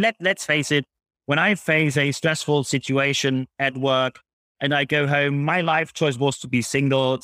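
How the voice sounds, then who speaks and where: A man's voice: on the high side, a bit bright, dry recording. Blunt German-accented man, close dry sound. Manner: blunt, emphatic. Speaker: guest, public speaker on leadership. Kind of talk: interview answers, explanatory.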